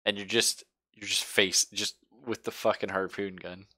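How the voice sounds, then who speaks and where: A man acts as game master in a casual tabletop session. His voice is very low yet bright, recorded dry and close. He narrates informally, with jokes and swearing. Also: level tone, normal speed, no echo.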